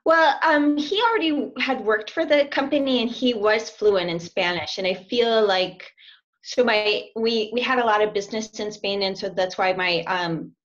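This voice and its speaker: A woman's voice: medium pitch, normal speed, fairly bright tone. A chatty woman in her forties talks over a laptop webcam from a small room at home. She laughs often and restarts her sentences as she goes. The call audio comes through dull and lo-fi. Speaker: language student. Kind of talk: casual interview over video call. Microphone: laptop webcam on video call.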